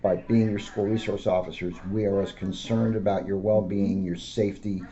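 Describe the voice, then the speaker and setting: A man's voice: fairly low pitch, fairly even intonation, moderate pace, dark tone. A middle-aged American man, a school police officer, reading aloud and talking informally to schoolchildren. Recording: dull lo-fi audio with faint noise.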